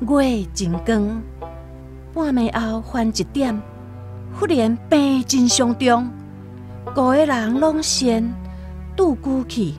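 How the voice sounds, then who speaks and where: A woman's voice: a little high, slow pace, pauses often. A woman with a Taiwanese accent narrating a scripted literary radio story expressively. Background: soft plucked-string music.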